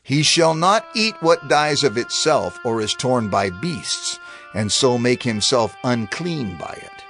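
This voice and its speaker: A man narrating scripture in a measured, formal reading style. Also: medium pitch, big pitch swings, a bit bright. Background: music.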